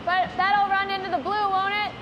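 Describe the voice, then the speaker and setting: High fast woman curler, talking over the shot, noisy ice mic. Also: level tone, muffled recording, crowd noise. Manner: quick, questioning, discussing shots. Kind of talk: mic'd player strategy talk. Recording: player mic with background noise.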